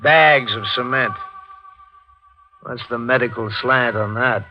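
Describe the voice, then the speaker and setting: An actor playing a probing, no-nonsense district attorney in a 1940s radio drama, with a brisk, pointed manner. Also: male voice, mid-range voice, sharp tone, low-quality recording. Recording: band-limited 1940s radio recording with music.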